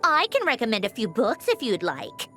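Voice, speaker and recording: An actress voicing a haughty, sharp-tongued young woman in a video game, speaking in a theatrical, articulate, disdainful way. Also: fairly high pitch, huge pitch swings, normal speed, soft background music, heavily acted. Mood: mocking. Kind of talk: scripted video game voice acting.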